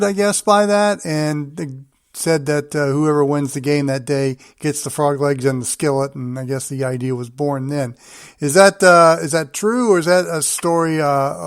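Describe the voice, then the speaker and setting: A man with a somewhat high voice hosts a sports talk interview. The sound is very dry, and he puts questions to his guests in a conversational manner with loosely built phrasing. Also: no echo. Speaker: sports show host, interviewer.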